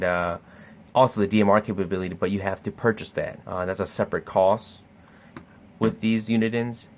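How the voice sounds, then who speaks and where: Low flat-voiced man demoing gadgets. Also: a little hiss or hum. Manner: easygoing, lots of uh and um fillers. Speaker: scanner store salesperson. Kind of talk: casual product demo talk.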